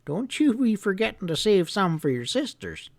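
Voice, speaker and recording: A man acts out a kindly old country grandfather for an audiobook. His voice lifts well up in pitch, with a folksy drawl and an affectionate, bossy warmth. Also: very animated, normal speed, gravelly, dry recording, exaggerated voice.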